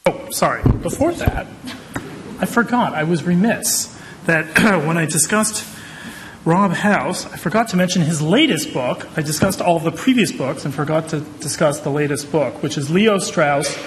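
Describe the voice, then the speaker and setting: A middle-aged man with a slightly high voice makes informal introductions as moderator. He speaks at a podium in a reverberant hall with faint noise. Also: moderate pace. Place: large wood-panelled courtroom-style hall. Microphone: podium microphone.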